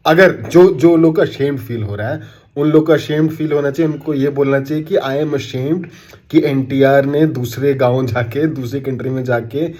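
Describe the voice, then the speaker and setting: A man's voice: medium pitch, moderate pace, a little mellow. Indignant Indian man ranting. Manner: indignant, sarcastic, switches Hindi and English. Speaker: commentator. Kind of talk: opinion rant to camera.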